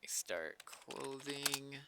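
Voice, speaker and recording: A man's voice: medium pitch, lively intonation, very slow, vocal fry, heavy background noise. A man casually thinking aloud as a streamer at work on code, muttering to himself and swearing now and then. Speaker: streamer doing game server development.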